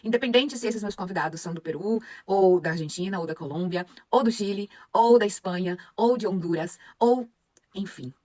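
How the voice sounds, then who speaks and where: A young Brazilian woman teaching her followers, animated and full of rhetorical questions to the audience. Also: medium pitch, brisk, dry recording. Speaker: Spanish teacher. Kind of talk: motivational lesson to followers.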